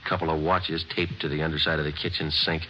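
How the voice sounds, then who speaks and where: A terse, deep-voiced police sergeant. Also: male voice, level tone, fast, background noise. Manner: clipped, matter-of-fact, terse. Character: clipped male police sergeant, dry and businesslike. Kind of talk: 1940s radio acting and narration. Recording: dull old radio broadcast.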